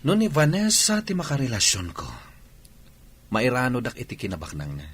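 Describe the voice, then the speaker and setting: A man playing a scheming, smitten insurance manager in a radio drama, with animated delivery. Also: medium pitch, moderate pace, husky, heavily acted.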